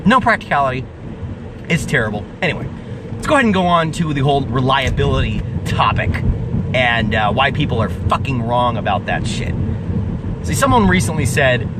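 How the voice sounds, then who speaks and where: Profane young man ranting about cars outdoors. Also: mid-range voice. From US Pacific Northwest. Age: 20s. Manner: profane, emphatic, repeats himself, laughs at own jokes. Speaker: car vlogger. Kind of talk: casual ranting vlog. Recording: outdoor recording, mic at a distance, traffic noise.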